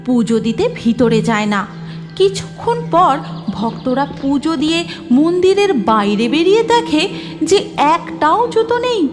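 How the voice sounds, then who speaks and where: A woman's voice: high voice, big pitch swings, measured pace, over music. A woman narrating a cartoon story from a script.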